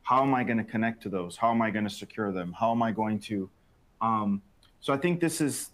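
A man in his thirties on a panel in a factory studio, explaining with frequent restarts and repeated words, on a lapel mic. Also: on the low side. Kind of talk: panel discussion. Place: bright open factory studio set.

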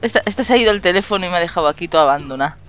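A woman with an accent from Spain chatting excitedly while playing a shooter game with a friend, laughing and swearing often. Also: on the low side, rapid-fire, soft background music. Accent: Spanish from Spain. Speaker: gaming channel host. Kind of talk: casual gameplay chat with a friend.

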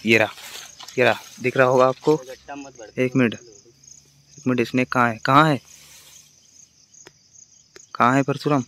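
A man's voice: mid-range voice, steady tone, unhurried, long pauses, a bit bright. A man, vlogging casually on a phone outdoors. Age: 20s. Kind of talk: casual chat to camera. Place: outdoors in an open farm field. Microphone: phone at arm's length. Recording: noisy handheld phone outdoor recording.

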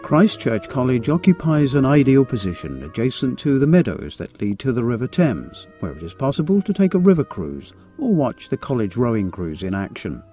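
A man reads a scripted walking tour in a measured, informative voice. His pitch moves freely and expressively through each sentence, and soft music plays behind him. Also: wildly swooping pitch, lo-fi sound. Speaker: tour guide narrator. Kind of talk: scripted audio tour narration.

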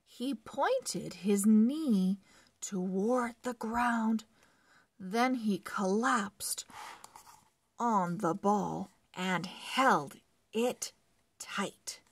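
A woman reads a children's story aloud at a very slow, careful pace. Her voice is breathy, with some creak at the edges. She places each phrase deliberately for young readers following along. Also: mid-range voice, dry recording. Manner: deliberate, word-by-word phrasing with animated emphasis. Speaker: children's book read-along narrator. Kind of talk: slow expressive read-aloud for early readers.

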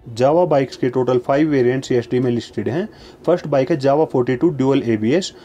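A man narrating a voice-over, reading out long lists of prices in a steady, even, formulaic way. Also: medium pitch, brisk, faint music behind.

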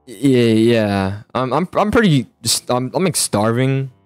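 A man's voice: medium pitch, very animated, measured pace, no echo. A man chatting casually in an improvised game roleplay, often stammering and repeating his words. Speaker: host of a roleplay gaming video. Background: music.

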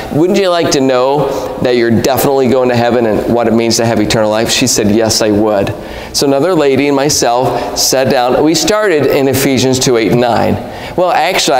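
A man's voice: medium pitch, darkish tone. A man in his forties preaching an evangelistic sermon at a pulpit, telling a story and stressing key words emphatically. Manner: emphatic, rising on key words, gestures broadly. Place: church sanctuary, at a pulpit. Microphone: pulpit mic. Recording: echoey hall reverb.

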